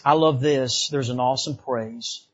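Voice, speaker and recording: Man, from the Bahamas, church preacher, fervent, repeats words, husky voice. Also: mid-range voice, fairly even intonation, measured pace, muffled recording. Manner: fervent, repetitive, calls of amen. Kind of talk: revival sermon.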